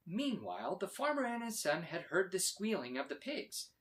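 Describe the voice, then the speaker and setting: Animated man reading a children's story. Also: high-pitched, normal speed. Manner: expressive, dramatic emphasis. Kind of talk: animated read-aloud narration for children.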